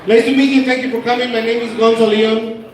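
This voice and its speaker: A man's voice: extremely high pitch, flat delivery, fast. Mexican man doing stand-up in broken English. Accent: Mexican Spanish. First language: Spanish. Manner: broken English mixed with Spanish slang, self-mocking. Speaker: stand-up comedian. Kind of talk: stand-up comedy set. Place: comedy club stage. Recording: echoey room from far off, crowd behind.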